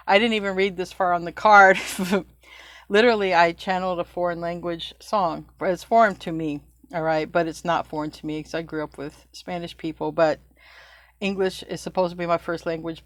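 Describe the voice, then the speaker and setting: A middle-aged woman, fairly low-pitched, talks through a tarot reading in a loose, chatty way and often backtracks to fix her own phrasing. The sound is dry and close, with no room around it. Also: no room sound. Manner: chatty, loose, self-correcting, laughs at own slips. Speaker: tarot reader. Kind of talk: casual spiritual reading.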